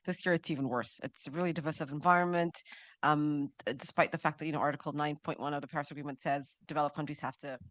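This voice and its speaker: Brisk woman asking a seminar question. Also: low voice, steady tone, fairly bright tone, no echo. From Ethiopia. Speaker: seminar audience member, climate delegate. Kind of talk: spoken audience question.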